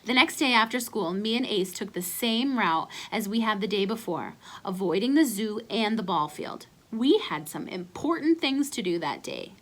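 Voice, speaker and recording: A woman giving an expressive read-aloud narration of a children's storybook. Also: mid-range voice, moderate pace, few pauses.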